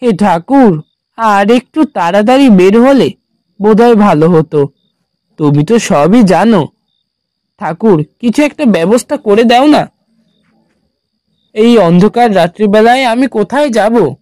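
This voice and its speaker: A man's voice: sits high, lively intonation, unhurried, long pauses, a little mellow, dry recording. A man voicing an anxious, devout male traveller in a horror cartoon, muttering and pleading fretfully. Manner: worried muttering to himself, pleading.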